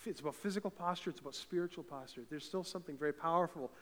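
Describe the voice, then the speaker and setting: Fervent preacher with a big voice. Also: male voice, fairly high pitch, racing. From eastern Canada. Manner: fervent, builds to loud emphatic peaks. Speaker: pastor. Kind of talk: sermon. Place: church sanctuary. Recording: reverberant church hall.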